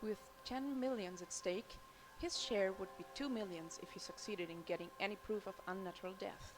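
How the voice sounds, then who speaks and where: An actress playing a cool, ambitious young insurance fraud chief, narrating a game intro in a cynical, matter-of-fact way over music. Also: female voice, medium pitch, normal speed, natural voice, low-quality recording. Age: young adult.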